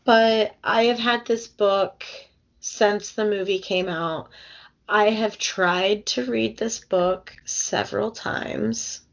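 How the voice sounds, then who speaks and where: A woman's voice: mid-range voice, fairly even intonation, slow, vocal fry, highs cut off. A young adult woman co-hosting a casual book podcast, rambling with fillers and restarts as she loses her voice. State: losing her voice. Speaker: podcast co-host.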